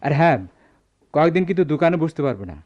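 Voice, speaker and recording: Man acting an animated middle-aged shop boss, muffled recording. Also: on the high side, racing, close to an everyday voice. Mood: businesslike. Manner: emphatic, theatrical. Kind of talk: Bengali radio drama acting. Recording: dull lo-fi radio drama sound.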